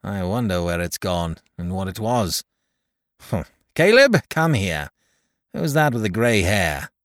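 A man voicing a harsh, sarcastic male toy merchant in a storybook reading, loud and sharp. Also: low voice, measured pace, no echo, studio quality. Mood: sneering.

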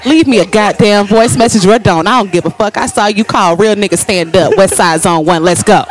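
A woman's voice: mid-range voice, fairly quick. A brash woman, talking as a podcast co-host. Her banter is casual and salty, with music playing behind her voice. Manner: brash, profane.

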